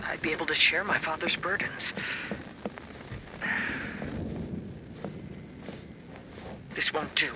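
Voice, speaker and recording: An actor playing a calm, wistful young samurai poet in an anime video game, speaking softly in a measured, reflective way. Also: male voice, on the high side, noisy background, natural voice.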